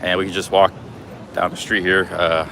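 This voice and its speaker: A man livestreaming on a city street, talking casually with a loud, carrying voice and lots of fillers and restarts. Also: low voice, flat delivery, moderate pace. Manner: rambling, many fillers and restarts. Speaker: livestream host. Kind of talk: casual chat while streaming. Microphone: streaming camera rig. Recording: clear street noise.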